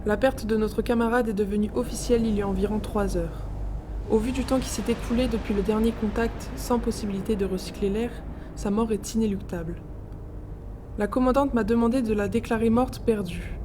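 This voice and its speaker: A woman's voice: on the high side, brisk, background noise, lightly acted. A woman playing a solemn, grieving ship's doctor recording a formal log in an audio drama. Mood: solemn grief.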